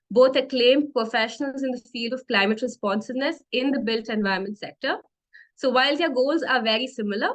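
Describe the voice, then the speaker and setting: A woman moderating a virtual panel session, speaking in a measured way with frequent fillers over a video call. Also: on the high side, fairly bright tone. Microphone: computer mic on video call.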